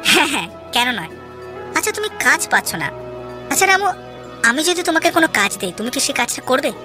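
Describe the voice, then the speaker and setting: Woman voicing a generous rich businessman, raised pitch. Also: big pitch swings, fairly quick. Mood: generous, assured. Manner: confident, insistent, friendly. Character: grateful, generous wealthy city businessman. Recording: music behind the voice.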